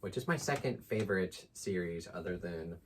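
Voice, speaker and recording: A man's voice: low voice, animated, normal speed, a little hiss or hum. A man co-hosting a casual book chat with informal, slangy, enthusiastic talk. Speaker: book channel co-host.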